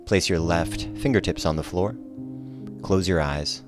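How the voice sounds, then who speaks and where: A man teaching a guided relaxation yoga class, giving calm, soothing, measured cues over soft music. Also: deep voice. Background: soft guitar music.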